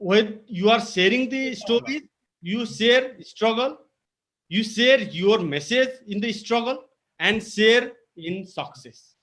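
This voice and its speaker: A man with a Nepali accent leads a public speaking webinar over a video call. His voice sits notably high for a man. He presses his points home emphatically and repeats them. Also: animated, slow pace, fairly bright tone. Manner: emphatic, repetitive teaching delivery with code-switching. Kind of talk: webinar lecture.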